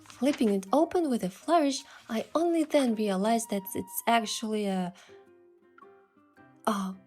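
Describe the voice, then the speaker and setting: A woman voicing a curious young adventurer heroine, telling the story in the first person with earnest, expressive delivery. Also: on the high side, a little slow, close to an everyday voice. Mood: flustered, curious. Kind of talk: scripted first-person narration and dialogue. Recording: music behind the voice.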